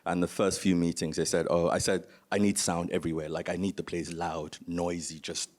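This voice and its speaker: Very deep-voiced man speaking on a panel. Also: flat delivery, a little fast, darkish tone. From Nigeria. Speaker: panelist, museum founder. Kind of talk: informal spoken presentation on a conference panel.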